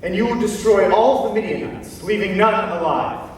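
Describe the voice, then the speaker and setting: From a distance in a hugely reverberant hall comes a male actor as an angel in a stage play. The voice is high, authoritative and sure, making solemn declarations. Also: moderate pace, natural voice. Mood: commanding, assured. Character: angel of the Lord, commanding and assured. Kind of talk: stage drama. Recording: very echoey hall, distant mic, faint noise.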